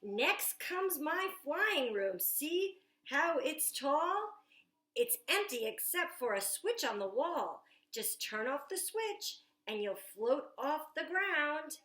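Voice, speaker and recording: A woman's voice: high voice, sing-song, slow, fairly bright tone, pitched above the performer's own voice, exaggerated voice. A woman reading a storybook's lines as an imaginative young boy who proudly shows off his dream house, in bouncy rhyming verse with stretched words. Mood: proud, excited. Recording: echoey room.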